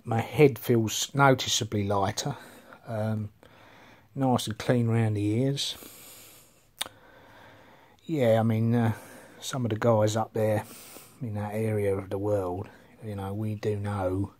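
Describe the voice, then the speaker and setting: A man's voice: on the low side, steady tone, takes it slowly, long pauses, a little mellow. A middle-aged man rambling casually to his phone camera in a living room, swearing freely and trailing off. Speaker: vlogger. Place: living room with bookshelves, indoors. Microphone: phone camera held at arm's length.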